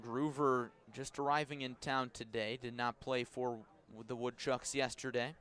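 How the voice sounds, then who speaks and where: A man doing live radio baseball play-by-play as the announcer, measured between pitches and lifting into excited calls on the action. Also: clear, resonant tone. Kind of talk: live radio sports commentary.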